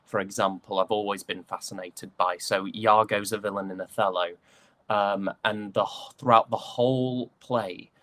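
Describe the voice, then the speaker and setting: A man from the UK speaking reflectively as an interview guest, thoughtful and hesitant, often pausing mid-thought. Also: lowish voice, steady tone, fairly bright tone. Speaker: interviewed stage and voice actor.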